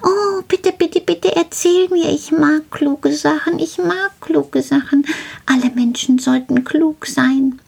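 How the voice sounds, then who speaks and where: A woman voicing a small, excitable male gnome who is eager, childlike and likes to repeat words in a storybook tale. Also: sits high, slow pace, gravelly, pitched above the performer's own voice, exaggerated voice. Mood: excited.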